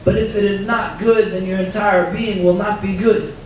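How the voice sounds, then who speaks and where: A man giving a reflective religious talk as an imam, hoarse from illness, quoting Arabic scripture in a measured way. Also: high-pitched, steady tone. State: hoarse, losing his voice. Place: banquet hall. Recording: roomy far-off hall recording with faint noise.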